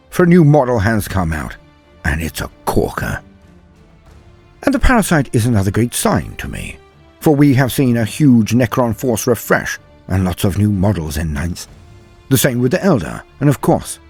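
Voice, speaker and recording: A man's voice: fairly low pitch, a little mellow, husky, no room sound. A British man hosting a hobby channel, narrating and commenting in a theatrical manner with florid, old-fashioned turns of phrase. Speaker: YouTube host of a tabletop wargame lore channel. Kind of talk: dramatic lore narration and opinion commentary.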